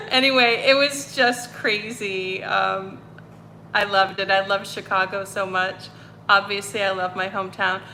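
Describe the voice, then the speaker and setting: Brief: woman, slight Boston accent, home livestream host, unhurried and rambling, faint room hiss. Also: medium pitch, pushed and bright. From North Andover, Massachusetts. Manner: chatty, rambling, many ums and self-corrections. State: hot and sweaty. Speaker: medium hosting a livestream. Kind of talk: casual livestream chat with viewers. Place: apartment with broken air conditioning.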